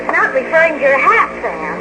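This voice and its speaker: A woman's voice: high voice, wildly swooping pitch, a little fast, heavy background noise. A woman playing a detective's devoted, exasperated secretary in 1940s radio drama style, scolding and pleading breathlessly. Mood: flustered. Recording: old 1940s radio recording with music.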